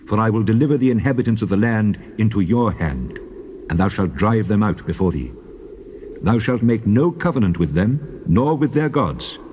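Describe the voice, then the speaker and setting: A man's voice: on the low side, animated, moderate pace, darkish tone, natural voice. A man playing a solemn, authoritative God in a dramatized scripture reading. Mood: solemn, commanding. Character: God of Israel, male, commanding, solemn lawgiver. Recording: clearly noisy old cassette tape.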